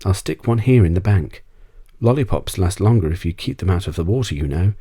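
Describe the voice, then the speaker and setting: A man voicing a courteous, quick-witted boy adventurer in a storybook, helpful and persuasive. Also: sits low, lively intonation, dark tone, husky, no echo, studio quality, full character voice. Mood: polite, persuasive. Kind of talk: storybook character voice.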